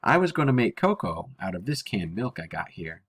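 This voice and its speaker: A man plays a stern but kind-hearted older working woman from a storybook. The talk is blunt and folksy, husky in tone and swinging widely in pitch. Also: sing-song, normal speed. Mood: frank, kindly. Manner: folksy, blunt, nonstandard grammar.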